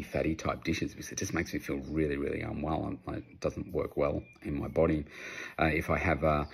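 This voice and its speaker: A man's voice: a bass voice, creaky, slightly rough. A man in his fifties with an Australian accent, giving casual, unscripted advice as a personal trainer in a rambling, conversational way. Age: 50s. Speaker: personal trainer answering audience questions.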